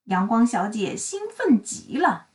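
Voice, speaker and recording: A woman narrating a children's storybook in an expressive, dramatic and deliberate storytelling style. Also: fairly high pitch, recorded from a distance. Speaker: read-aloud storyteller for children.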